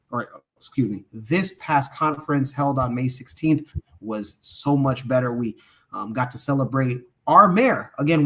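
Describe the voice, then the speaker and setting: A man from Omaha, co-hosting a livestream podcast, giving enthusiastic closing shout-outs with frequent hesitations and fillers. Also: mid-range voice. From Omaha, Nebraska. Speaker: podcast co-host.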